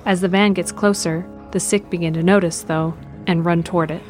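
Low-pitched woman narrating a drama. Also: steady tone, brisk, a little mellow, soft background music. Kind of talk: scripted audio drama narration.

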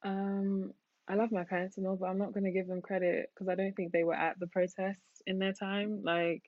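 A woman's voice: medium pitch, little pitch movement, normal speed, no echo, phone-quality sound. A young woman from Nigeria co-hosting a podcast, chatting casually and animatedly with many "like"s. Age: young adult. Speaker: podcast co-host. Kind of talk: casual chat. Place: London.

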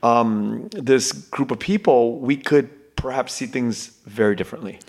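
A man's voice: mid-range voice, darkish tone. Rambling man hosting an interview. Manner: rambling, many fillers and restarts, quick bursts. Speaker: podcast host. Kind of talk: casual interview conversation.

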